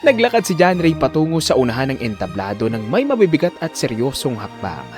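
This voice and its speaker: A man's voice: mid-range voice, sing-song, a little fast. A man narrating a scripted audio drama in a suspenseful, theatrical manner. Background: music.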